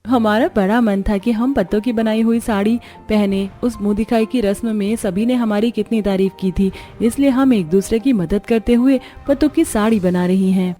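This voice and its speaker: A woman's voice: mid-range voice, moderate pace, hardly any pauses, warm tone, faint music behind, lightly acted. A woman voicing a cheerful, industrious young daughter-in-law in a cartoon story, talking in a friendly, eager way. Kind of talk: Hindi cartoon story dialogue.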